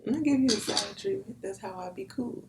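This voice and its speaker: A woman guest with a husky voice, playful and animated in sassy banter. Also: fairly high pitch, fast talker, noisy background. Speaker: podcast guest. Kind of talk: casual chat.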